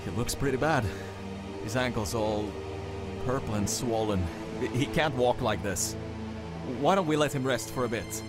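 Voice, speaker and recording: A man's voice: medium pitch, moderate pace, over loud music, natural voice. A man playing a protective, concerned squad captain in a radio drama.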